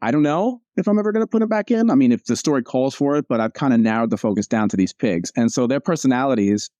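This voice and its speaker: Male interview guest, casual and rambling, self-correcting, with a warm, relaxed tone and dry sound. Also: medium pitch, fast talker, no room sound. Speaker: comic strip cartoonist, interview guest.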